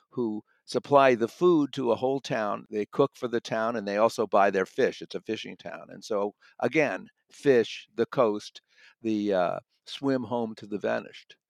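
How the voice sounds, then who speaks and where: Man, audiobook critic, creaky mid-pitched voice, halting conversational delivery, repeats for emphasis, close dry sound. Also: dry recording. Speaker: book reviewer, audiobook critic guest. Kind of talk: conversational book review.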